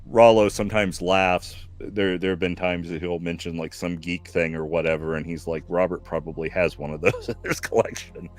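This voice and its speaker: A man in his fifties hosting a casual stream chat, slow and reflective, full of fillers and restarts. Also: low voice, little pitch movement. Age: 50s. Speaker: stream host.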